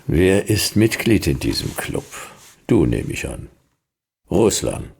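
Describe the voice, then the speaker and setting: An actor playing a laconic, dryly ironic private detective in a radio drama, terse and deadpan. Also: male voice, slow, heavily acted. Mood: dry probing. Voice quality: deep, gravelly. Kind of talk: noir radio drama narration and dialogue.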